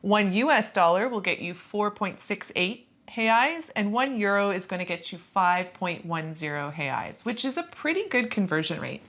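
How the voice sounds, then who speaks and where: A woman's voice: medium pitch, animated, normal speed, hardly any pauses, a bit bright. A woman co-hosting a personal finance video, talking in an easygoing, casual way and chiming in with short quips. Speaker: co-host of a personal finance channel. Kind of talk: casual explanatory chat to camera.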